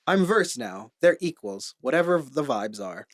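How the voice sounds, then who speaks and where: A man reading aloud as a cool, charismatic queer woman in her late twenties, telling her story bluntly and deadpan in his own voice. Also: mid-range voice, unhurried, dry recording, clean studio sound, voice pushed down. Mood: frank. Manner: blunt, deadpan, frank about sex. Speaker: comedian reading a listener's story. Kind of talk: casual first-person story reading.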